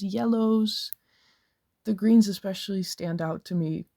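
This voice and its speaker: A woman with a low, gravelly voice reads interview answers in a steady, measured way. Her tone is dark and warm and stays flat in pitch. The sound is close and dry. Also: no room sound. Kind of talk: read-aloud first-person answers.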